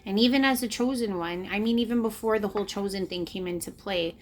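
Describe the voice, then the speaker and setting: A woman's voice: medium pitch, quick pace, a little hiss or hum. Earnest woman in her late thirties, confessional. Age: late 30s. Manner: earnest, confessional, emphatic repetition. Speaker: spiritual vlogger. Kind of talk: personal reflective monologue to camera. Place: indoors, in front of a camera.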